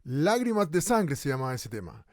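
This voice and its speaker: A man plays an eager radio show host who announces clips and questions his guest in an announcer-like way. His voice sits high. The sound is dry and close to the mic. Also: sing-song, normal speed, no echo. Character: eager male radio host, brisk interviewer. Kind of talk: radio drama acting.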